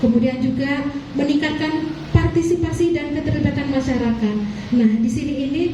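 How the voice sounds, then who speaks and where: A woman with a high, mellow voice gives a formal address at a training session for community leaders, hesitating often and apologizing politely. She is heard from a distance in a very echoey meeting hall with faint noise behind her. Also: steady tone, fairly quick, muffled recording. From Aceh.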